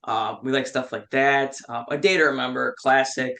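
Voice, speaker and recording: A man's voice: medium pitch, fairly even intonation, moderate pace, sharp tone. A man in his twenties from Western New York, a metal band member chatting enthusiastically as a guest and swearing freely. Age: 20s. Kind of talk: casual chat.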